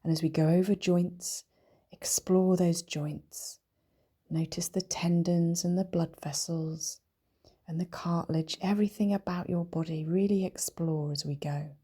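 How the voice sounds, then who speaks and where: A woman guides a meditation in a low, mellow voice. Her tone is soft and breathy, close to a whisper at times. She speaks gently and soothingly, leaving unhurried pauses between phrases. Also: fairly even intonation. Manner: gentle, soothing, slow and deliberate with long pauses. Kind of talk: guided meditation, body scan.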